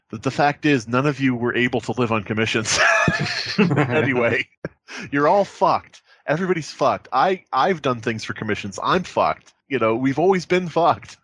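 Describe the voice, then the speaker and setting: A man's voice: medium pitch, expressive, normal speed, a bit bright, slightly rough, no echo. A man on a podcast panel trading casual comedic banter, sarcastic, profane and always needling.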